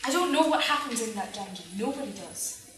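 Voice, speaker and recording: A woman on stage in an amateur play, acting a sharp-tongued, skeptical young working woman from a fairy tale. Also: a little high, lively intonation, brisk, natural voice. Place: theatre stage, live performance. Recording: echoey theatre stage from far off.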